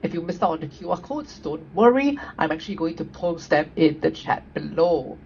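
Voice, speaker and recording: Woman host, webinar presenter, low gravelly voice, dull lo-fi call audio with faint hiss. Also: expressive, moderate pace, darkish tone. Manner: upbeat, frequent tag questions. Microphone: video call.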